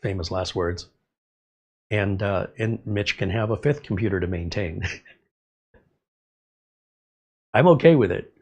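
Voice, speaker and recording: A man's voice: lowish voice, slow, long pauses, darkish tone, breathy edge. A middle-aged man hosting a casual livestream, a little congested, chatting in a rambling way and reading viewer comments aloud. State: slightly congested. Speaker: livestream host.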